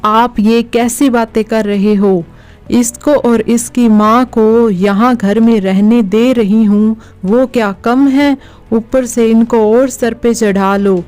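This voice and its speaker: Scolding, high-voiced cruel mother-in-law played by a woman. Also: steady tone, takes it slowly, a little mellow, soft background music. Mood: scornful. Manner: harsh, scolding.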